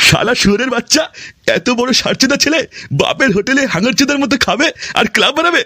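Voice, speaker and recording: A man's voice: extremely high pitch, lively intonation, fast talker, bright, forceful tone, no room sound, studio quality, exaggerated voice. A man playing a grumpy, foul-mouthed cartoon father who rants and scolds his idle son. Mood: angry scolding. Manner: scolding, ranting, crude. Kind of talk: exaggerated comic cartoon dialogue.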